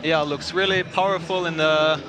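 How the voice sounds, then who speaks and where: A man's voice: a little high, steady tone, normal speed, a crowd in the background. A man with an Austrian accent giving casual live sports co-commentary. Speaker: co-commentator and competition climber. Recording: music playing behind the voice.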